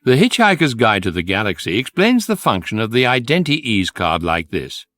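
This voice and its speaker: A British man playing a wry electronic guidebook, narrating comic science fiction with measured, ironic delivery. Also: fairly low pitch, big pitch swings, a bit bright, faint music behind. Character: wry electronic guidebook, calm male narrator, drily amused.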